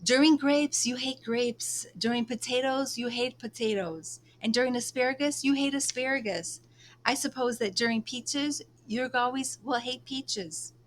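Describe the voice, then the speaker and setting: A woman's voice: sits high, moderate pace, a little hiss or hum, voice pushed up, natural voice. A woman reading a children's book aloud as a proud, teasing, hot-tempered teenage girl.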